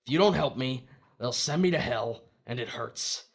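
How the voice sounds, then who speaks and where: A man's voice: medium pitch, lively intonation, normal speed. A man playing the desperate, bullying ghost of a dead husband in a horror audio drama, urgent and impatient. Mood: pleading, pained.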